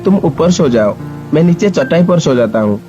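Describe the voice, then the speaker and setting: Guy playing caring young office boss, slightly high voice, reassuring, cartoon story, music and rain behind. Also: expressive, normal speed, dull audio, natural voice. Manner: gently insistent, reassuring. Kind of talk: animated storybook dialogue.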